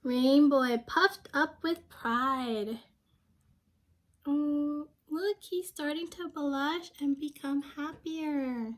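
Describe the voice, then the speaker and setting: A woman's voice: sits high, drawn-out words. A young woman reading a storybook aloud to children, speaking simply and expressively and prompting her listeners with questions. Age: young adult.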